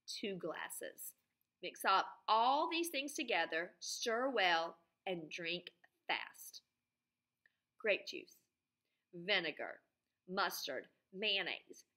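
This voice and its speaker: Bright-voiced woman reading a children's story aloud. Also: medium pitch. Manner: expressive, simple teacherly explaining with frequent questions. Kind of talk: children's storybook read-aloud with questions to listeners.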